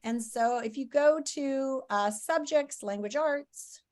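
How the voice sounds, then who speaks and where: High-voiced woman teacher talking students through work. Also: takes it slowly, darkish tone. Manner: informal, explanatory, step-by-step. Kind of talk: casual lesson talk to students, thinking aloud.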